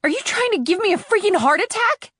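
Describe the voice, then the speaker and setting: A woman's voice: extremely high pitch, big pitch swings, brisk, a bit bright, no room sound, clean studio sound, exaggerated voice. A woman playing a sarcastic, guarded, streetwise teenage girl in audiobook dialogue, with a flippant manner. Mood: startled, indignant.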